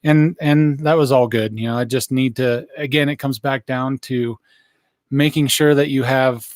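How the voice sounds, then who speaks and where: A hoarse man in his thirties giving a casual, rambling live update into a studio microphone in a small room. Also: medium pitch, steady tone, normal speed, no room sound. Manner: rambling, many fillers and restarts. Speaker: vlogger giving a health update. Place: small indoor room, wood-plank backdrop. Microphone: studio mic on boom arm in front.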